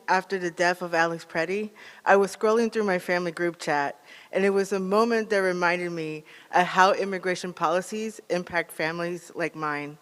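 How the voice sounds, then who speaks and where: A man with an American accent giving a prepared advocacy speech in an earnest, measured manner. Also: high voice, long reverb tail. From United States, born in Peru. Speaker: national organizer, advocate.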